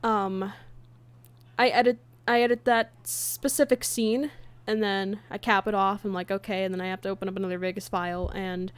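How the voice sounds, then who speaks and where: A woman chatting casually on a livestream as she works, halting and half talking to herself in an absent-minded way. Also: mid-range voice, level tone, clean tone. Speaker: livestreamer and comic dub creator. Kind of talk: casual livestream chat while working. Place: indoors at a computer, streaming.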